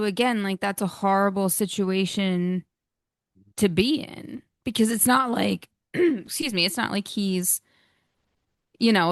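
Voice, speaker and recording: A woman's voice: mid-range voice, dry recording, studio quality. A woman co-hosting a podcast, talking casually and sympathetically in a hesitant, conversational way. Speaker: podcast co-host. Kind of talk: casual discussion.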